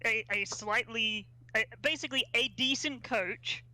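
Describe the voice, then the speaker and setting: A woman's voice: a little high, a little slow, bright, forceful tone, poor audio. A thirty-year-old woman co-hosting a casual sports podcast, blunt and stammering into her points. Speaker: podcast co-host.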